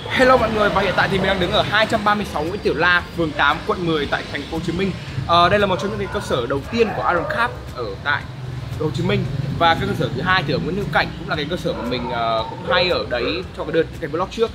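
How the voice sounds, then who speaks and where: A man's voice: high voice, rapid-fire, background noise. A man, chatting casually to camera in a vlog with plenty of fillers. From northern Vietnam. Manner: chatty, upbeat, filler-heavy. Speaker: vlogger. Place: barbershop and car at night.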